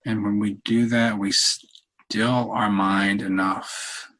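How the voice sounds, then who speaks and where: A man leading a guided meditation in a calm, unhurried, halting way with long pauses and a husky voice. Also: lowish voice. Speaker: meditation leader, podcast host. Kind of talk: guided meditation, softly spoken instruction.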